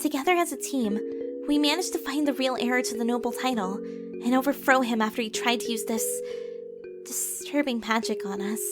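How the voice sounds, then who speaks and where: A woman's voice: a little high, moderate pace, loud music behind the voice, lightly acted. A woman playing a young, wounded heroine who narrates her own past in an audio drama, earnest and solemn. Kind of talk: scripted first-person audio drama narration.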